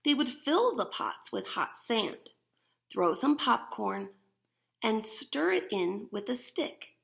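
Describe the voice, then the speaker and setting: A woman's voice: fairly high pitch, big pitch swings, takes it slowly, creaky, a bit bright. A woman teacher reading a picture book aloud to young children, expressively and with simple explanations. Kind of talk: animated picture-book read-aloud with demonstration asides.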